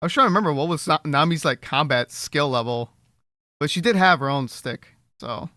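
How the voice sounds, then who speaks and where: A man chatting casually during a watchalong stream, retelling scenes in an animated way. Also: a little high, a little fast, dry recording, studio quality. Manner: animated retelling, laughs often. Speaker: watchalong co-host. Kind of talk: casual reaction chat.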